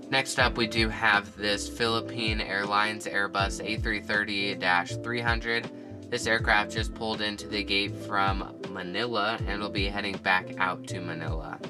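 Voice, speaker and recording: A man who is feeling a bit sick, enthusiastically narrating a walkthrough of his model airport and listing each aircraft. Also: lowish voice. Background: guitar music.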